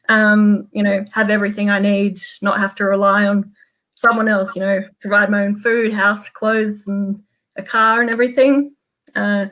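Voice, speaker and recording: A woman's voice: mid-range voice, a bit bright. Australian woman, chatty and earnest. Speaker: meetup guest speaker, small business owner. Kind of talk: casual book review to a group. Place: home office, on a video call.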